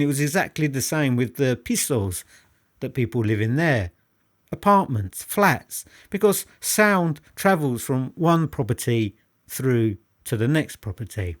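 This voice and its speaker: A man presents a product review in an unscripted, enthusiastic way. His mid-pitched voice rises and falls in a very animated fashion. He stresses and repeats phrases, often questioning the listener directly. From United Kingdom. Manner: emphatic, repetitive, rhetorical questions to the listener.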